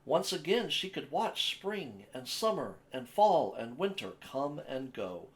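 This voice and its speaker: A man narrating a children's picture book as a storyteller, with an expressive, measured delivery. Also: fairly high pitch. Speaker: storyteller, host of a story time series.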